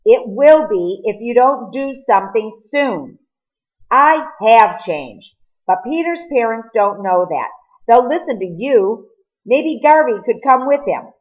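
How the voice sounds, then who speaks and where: A woman's voice: fairly high pitch, lively intonation, takes it slowly, muffled recording, voice pushed up. A woman reading the part of a remorseful, insistent teenage boy in a storybook, with emphatic, emotional delivery. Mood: insistent, pleading.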